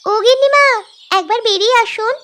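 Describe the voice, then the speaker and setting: A woman's voice: very high-pitched, a little fast, a bit bright. A woman voicing an eager, obedient young village girl in a cartoon tale. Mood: eager, polite. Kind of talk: Bengali cartoon fairy-tale dialogue.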